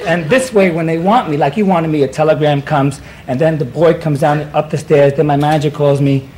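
A rambling, giggly man with a Puerto Rican accent, sitting as a guest on an old television talk show. His voice sits a little high, and he drops hip slang as he talks. The sound comes from a vintage broadcast with clear hiss under it. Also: steady tone, a little fast, warm tone, poor audio. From Puerto Rico. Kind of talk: rambling comic chat. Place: television studio set. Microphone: stand mic.

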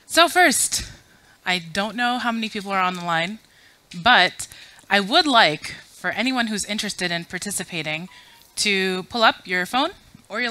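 A woman's voice: medium pitch, pushed and bright. A woman in her thirties, originally from Afghanistan, giving a chatty, self-deprecating conference talk to a room full of people and running audience polls. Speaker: conference speaker. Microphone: podium mic.

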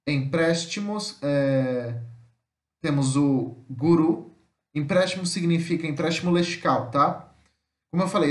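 A man with a Brazilian Portuguese accent teaches in a fairly high voice. He reads material aloud, then explains it informally and haltingly, repeating himself and adding little check-in questions. The room echoes noticeably around him. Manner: halting, repetitive, frequent tag questions to check understanding. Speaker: language teacher. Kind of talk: informal lesson, reading slides aloud and explaining. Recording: roomy, echoing voice-over.

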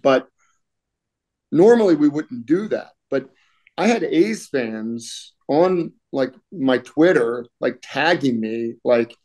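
A middle-aged man speaks at an ordinary pitch with a warm, dark tone. He talks from a room at home over a laptop microphone, full of "you know" and "um". He keeps stopping to clear his throat. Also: animated, takes it slowly. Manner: conversational, many fillers, clears throat often. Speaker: union political director, guest. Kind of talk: casual video-call interview.

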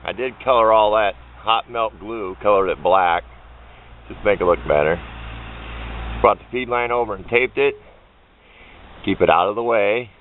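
A man's voice: on the low side, normal speed, long pauses. A man giving a casual, plain-spoken, folksy homemade how-to narration as a hobbyist, picked up on a handheld camera microphone. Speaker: hobbyist demonstrator. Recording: muffled phone-like camera audio with background noise.

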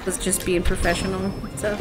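A woman's voice: mid-range voice, little pitch movement, normal speed. Young woman chatting over game music. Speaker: gaming companion. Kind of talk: casual chat.

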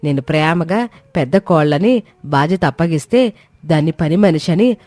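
A woman's voice: deep voice, animated, normal speed, mellow, no room sound. A woman voicing a bossy, cruel older mother-in-law in a dramatised moral story, sneering and commanding. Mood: indignant, accusing. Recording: faint music behind.

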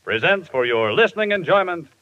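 An American man announcing a sponsor's message in polished, measured 1950s radio style. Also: fairly high pitch, sing-song, noisy background. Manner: polished, measured sales delivery. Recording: muffled 1950s radio broadcast recording.

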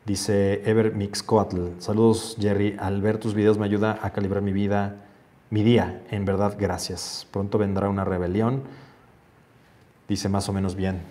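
Man, 40s, low-pitched host, earnest chat over a lapel mic, home office. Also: little pitch movement, unhurried, warm tone. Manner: reads comments aloud then muses on them, earnest. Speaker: host reading viewer comments. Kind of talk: casual livestream chat. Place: home office with shelves, indoors.

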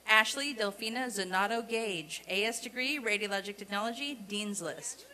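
A woman reading out a formal roll of graduates at a commencement, in a deliberate, repetitive announcing cadence. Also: mid-range voice, pushed and bright, a little hiss or hum, low-quality recording. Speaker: commencement name reader. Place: outdoor college commencement ceremony.

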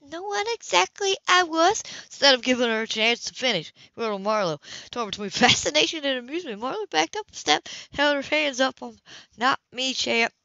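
A woman's voice: high-pitched, expressive, brisk, sharp tone, no echo, dull audio. A woman reading a romance novel aloud as an amateur narrator, stumbling and restarting now and then. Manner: reads with frequent slips and restarts. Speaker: audiobook reader.

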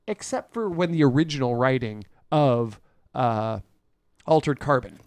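A man's voice: medium pitch, animated, measured pace, a little mellow, dry recording. A man bantering casually and jokingly as a co-host on a live class. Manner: informal, joking.

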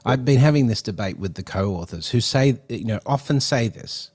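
A man's voice: mid-range voice, lively intonation, moderate pace, a little mellow, husky. A middle-aged man hosting a podcast interview over a video call, talking casually with many fillers and restarts. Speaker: podcast host. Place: home studio with neon sign. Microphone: headset and desk mic on video call.

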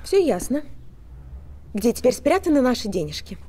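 An actress playing a brisk, sharp-tongued theatre colleague in a film drama. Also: female voice, on the high side, very animated, a little hiss or hum. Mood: mocking, accusing.

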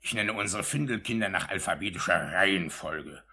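An actor playing a pompous, officious and easily outraged parish official in a 1950s German radio drama. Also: male voice, low voice, a little fast, pushed and bright, full character voice. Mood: self-important. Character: pompous, self-important male parish official in old England.

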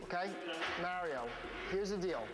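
An American man in his thirties, a high school principal, speaking in a stern, authoritative way. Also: animated, a little slow, over loud music. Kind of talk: reality show narration and firm talk with a student. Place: school offices and locker room. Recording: noisy reality TV location sound.

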